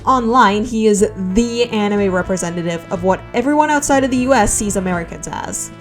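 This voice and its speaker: A woman's voice: medium pitch, fairly quick. A woman hosting a scripted video essay with wry, conversational asides, over background music.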